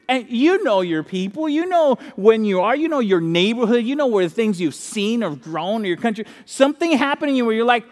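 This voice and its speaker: A man with a high voice preaches a sermon in a lively, conversational way. He grew up in the Dominican Republic and often repeats key lines for emphasis. His voice carries a long reverb, as in a large hall. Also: brisk, few pauses. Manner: animated, conversational preaching with repetition and asides. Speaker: pastor.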